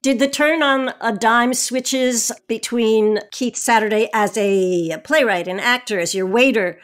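A woman hosting an interview, putting measured questions to her guests. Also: on the high side. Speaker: interview host. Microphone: remote recording.

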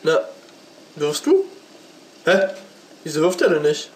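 A man with a slight cold comments on his gameplay in a high, lively voice and an unhurried pace. He chats and jokes, and game music plays behind him. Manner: chatty, joking, talks to the game. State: mild cold, sore throat. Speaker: let's play gamer.

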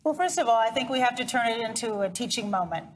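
A woman's voice: a little high, expressive, quick pace, slight background noise. Composed woman with a firm tone. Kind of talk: interview answers.

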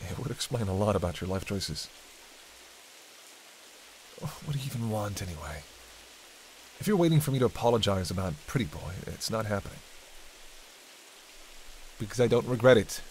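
A man's voice: on the low side, poor audio. A man playing a hungry, cranky vampire in a roleplay audio drama, bantering sardonically and intimately with a listener cast as a hunter. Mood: defiant, sardonic. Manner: sardonic, teasing, dry banter. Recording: steady rain and hiss behind.

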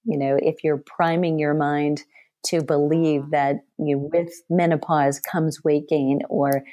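A middle-aged woman hosting a podcast, her voice mellow, low for a woman and slightly hoarse. She speaks with animation and addresses her listeners directly. The recording is close and dry, with no room sound. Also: takes it slowly, no echo. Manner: animated storyteller, talks straight to listeners. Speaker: podcast host. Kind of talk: conversational interview.

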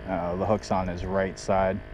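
Guy fishing off a boat, slow drawl, laughing, hyping every fish, windy outdoor noise. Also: sits low, lo-fi sound. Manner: excited, laughs often, hypes every catch. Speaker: fishing guide filming his charter. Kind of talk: casual on-camera fishing commentary. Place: small boat on open shallow flats, outdoors. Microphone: head-mounted action camera. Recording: wind and water noise outdoors.

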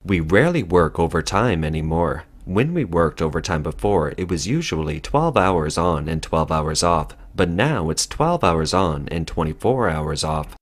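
Deep lively news narrator, male. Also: moderate pace, hardly any pauses.

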